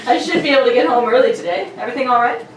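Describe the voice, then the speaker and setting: A woman's voice: a little high, expressive, very fast, lightly acted. A woman playing a fond, concerned husband in an amateur radio play, in a playful, hammy way. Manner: jokey, hammy, giggly. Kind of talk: amateur radio drama read-through, playful. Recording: very echoey room, distant mic, faint noise.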